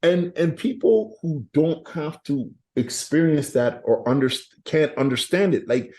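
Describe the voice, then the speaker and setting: A man's voice: fairly high pitch, lively intonation, unhurried, a little mellow. A Canadian man talking as a podcast guest, rambling and often asking "you know what I'm saying". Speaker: guest artist. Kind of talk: casual podcast interview.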